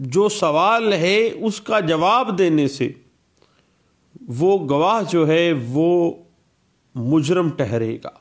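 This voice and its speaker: Man, law lecture, unhurried delivery, slightly high voice. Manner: slow, deliberate, repeats points in mixed Urdu-English legal terms. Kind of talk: explaining a statute in a recorded lecture.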